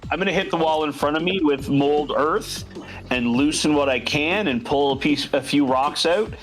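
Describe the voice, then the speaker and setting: Male player, mid-high voice, hesitant and wry. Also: moderate pace, soft background music. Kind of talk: casual play at the table.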